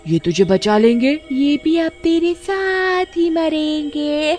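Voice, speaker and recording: Wicked cartoon witch, played by a woman: gloating, slow taunts, cackles, music underneath. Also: high voice, lively intonation, a little mellow, pitched above the performer's own voice. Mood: menacing. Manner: drawn-out taunting with cackling laughter. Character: gloating wicked female witch, menacing and cruel. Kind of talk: animated horror story character voice.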